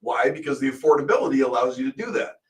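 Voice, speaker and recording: A man's voice: medium pitch, lively intonation, quick pace, far from the mic. A middle-aged American man co-hosting a talk show, explaining things casually, often posing questions and answering them himself. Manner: explains with hypothetical examples and self-answered questions. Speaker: radio show co-host.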